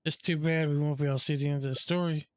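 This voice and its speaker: A man's voice: a little high, fairly even intonation, racing, dry recording, clean studio sound. A man giving casual video game commentary in short, reactive asides. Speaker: gamer commenting on playthrough.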